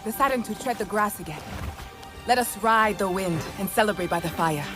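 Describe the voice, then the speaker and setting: An actress playing a warm, celebratory female alien clan rider in a video game cutscene. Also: medium pitch, animated, a little fast, lightly acted. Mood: warm, welcoming. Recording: music behind the voice.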